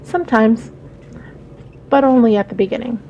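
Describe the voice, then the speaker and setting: A woman's voice: fairly high pitch, normal speed, mellow, a little hiss or hum, lightly acted, low-quality recording. A woman voicing a lonely, wistful female passenger in a visual novel, musing and hesitant. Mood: pensive. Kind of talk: visual novel read-aloud.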